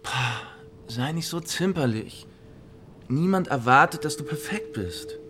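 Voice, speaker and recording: An actor playing an eager, bossy young gentleman in a radio drama, breezy and insistent as he cajoles. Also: a little high, animated, unhurried. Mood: cajoling, insistent.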